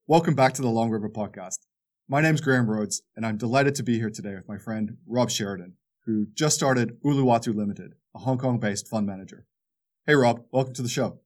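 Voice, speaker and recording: A man's voice: mid-range voice, brisk. A man hosting a podcast, putting prepared questions to his guest. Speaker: podcast host. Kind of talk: podcast interview.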